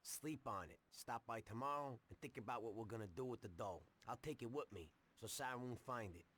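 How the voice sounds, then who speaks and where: A man's voice: mid-range voice, a little fast, fairly bright tone, slight background noise, close to an everyday voice. A man plays a reckless mobster from a 1930s crime game. He sits in an average male register and speaks bluntly, pushing his partner along with informal, brash talk. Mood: relieved, joking. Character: brash male 1930s gangster, reckless bank-robbing buddy. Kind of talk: video game cutscene acting.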